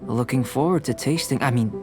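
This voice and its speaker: An actor playing a young male alchemist in a video game, a calm, curious scholar with a measured, formal and politely detached manner. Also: mid-range voice, over music, lightly acted. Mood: mildly pleased.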